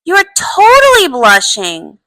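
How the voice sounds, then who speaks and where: A woman voicing a perky, eager young female pony in a cartoon comic dub, emphatic and excitable. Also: sits high, slow pace, no room sound, exaggerated voice, poor audio. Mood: excited, eager.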